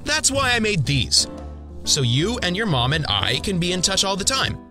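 A man plays a good-humoured young superhero dad from a motion comic. His voice is fairly high and very lively, with an easygoing, wry delivery over background music. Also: normal speed. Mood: playful, fatherly.

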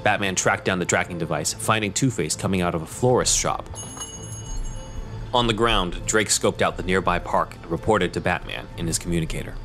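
Male narrator, deep voice, dramatic scripted storytelling, loud score behind. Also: fairly quick, soft background music.

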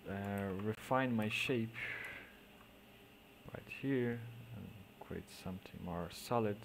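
A man with a Portuguese accent, a native Portuguese speaker, explaining digital painting step by step in halting English, often trailing off into quiet mutters as he works. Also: fairly low pitch, gravelly, noisy background. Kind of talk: screen-recorded painting tutorial.

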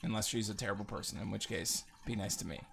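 A man's voice: on the low side, quick pace, vocal fry, a bit bright. Sarcastic man rambling over gameplay, noisy audio. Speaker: gaming video host. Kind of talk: casual gameplay commentary.

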